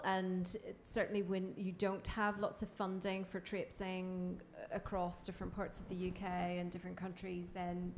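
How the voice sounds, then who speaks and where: A woman author speaking about her book on a panel, in a measured, academic way. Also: medium pitch, a little mellow, slight background noise. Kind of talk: panel discussion.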